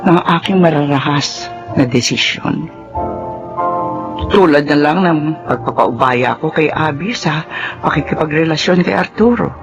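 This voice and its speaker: A woman's voice: low voice, lively intonation, unhurried, long pauses. A woman with a Filipino accent playing a remorseful, earnest mother in a sentimental radio drama monologue. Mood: reflective regret. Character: remorseful Filipino mother reflecting on her mistakes. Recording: dull radio broadcast over loud music.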